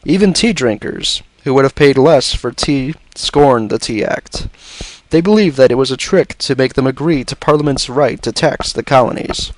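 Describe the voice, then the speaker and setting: A man reads a scripted educational narration in a measured, expository way. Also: mid-range voice, expressive, dry recording, rough recording.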